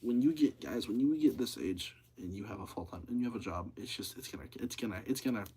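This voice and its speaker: A seventeen-year-old young man chatting casually on a livestream, speaking in a monotonous voice and rambling with lots of fillers and repeats. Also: lowish voice, fast, husky, poor audio.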